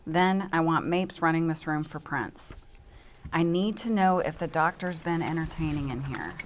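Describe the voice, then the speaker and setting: A woman playing a tough, all-business forensic team leader of about forty, brisk and commanding, at a table read. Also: low-pitched, a little hiss or hum, natural voice.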